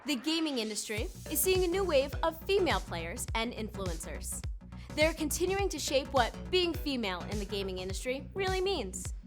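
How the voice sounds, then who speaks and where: A woman's voice: sits high, big pitch swings, normal speed. A woman reading scripted documentary narration as a host. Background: music.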